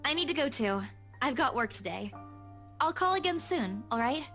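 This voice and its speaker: A woman's voice: high voice, expressive, moderate pace, sharp tone, soft background music, exaggerated voice. An actress playing an earnest, hardworking young woman, reassuring and easily anxious, in a visual novel game. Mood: reassuring, tender. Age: young adult.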